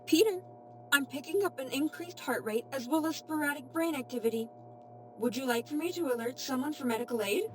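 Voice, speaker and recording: A calm, helpful suit AI, played by a woman in a fan audio drama. The high female voice gives measured, formal reports over clear background noise. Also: close to an everyday voice. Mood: calm, attentive. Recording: clearly noisy home recording.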